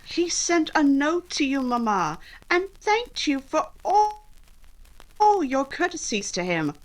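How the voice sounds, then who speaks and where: Woman as a proud young lady, slow and expressive. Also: sits high, full character voice, poor audio. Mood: patient pleading. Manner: measured, earnest, polite. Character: proud, sensible, composed young Regency daughter. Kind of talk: recreated 1940s radio play acting.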